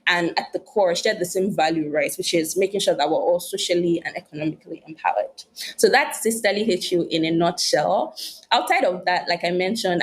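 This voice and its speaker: Articulate young woman. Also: lowish voice. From Nigeria. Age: young adult. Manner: articulate, laughs easily. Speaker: podcast guest. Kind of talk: interview answers.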